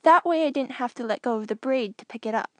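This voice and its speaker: Female reader as thoughtful young boy in a recorded message, polite and bashful, high voice, close dry sound. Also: quick pace, a bit bright, no room sound, lightly acted. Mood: explaining. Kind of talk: audiobook character reading.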